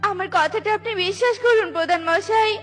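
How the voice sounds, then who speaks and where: A woman's voice: very high-pitched, fairly quick, fairly bright tone, faint music behind. A woman voicing a small, good-hearted female bird who speaks earnestly in a children's cartoon. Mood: pleading. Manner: pleading, earnest.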